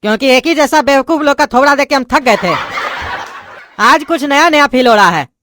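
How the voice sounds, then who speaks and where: A woman's voice: high voice, fairly quick, background noise, natural voice. A woman doing a scolding male schoolteacher. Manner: scolding, sarcastic. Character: impatient male schoolteacher, mocking his dim students.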